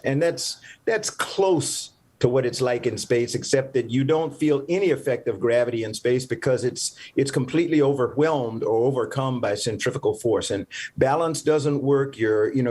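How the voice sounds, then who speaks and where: An older man from the American South speaks as a former astronaut on a festival panel. He explains things patiently and deliberately, often restarting his sentences as he works toward the point, in a voice of middle pitch. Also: darkish tone, breathy edge. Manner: measured, explanatory, with frequent restarts. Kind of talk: panel interview answer.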